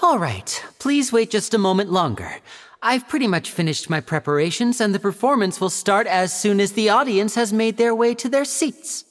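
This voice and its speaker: A woman's voice: mid-range voice, very animated, moderate pace, close to an everyday voice. A woman voicing a polished, charming young male stage magician in a video game, polite and theatrical like a showman. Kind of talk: video game character acting.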